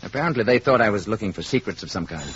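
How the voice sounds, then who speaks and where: An actor playing a calm, courteous alien man passing as a mild lodger in a 1950s radio play. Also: on the low side. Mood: polite, friendly. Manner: polite, measured wording, gently assured. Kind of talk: 1950s radio drama acting. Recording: dull, noisy old radio recording.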